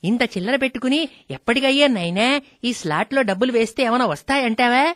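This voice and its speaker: A woman's voice: fairly high pitch, animated, fast, no room sound, studio quality, lightly acted. A woman playing a fretful, chatty elderly village mother in a radio comedy skit, speaking plaintively. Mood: wondering. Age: older. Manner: plaintive, calls listener "son" fondly.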